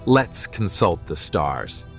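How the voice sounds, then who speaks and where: A man playing a gentle, wise young mystic who speaks earnestly and philosophically in an audio drama. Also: low voice, very animated, faint music behind, heavily acted. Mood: serene, earnest. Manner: slow, earnest, philosophical delivery. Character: gentle, wise young male mystic consoling his despairing friend.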